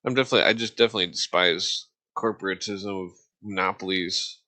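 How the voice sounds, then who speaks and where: American dude, lowish voice, rambly casual podcast chat, keeps trailing off and wandering. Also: male voice, steady tone, pushed and bright. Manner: halting, trails off and digresses.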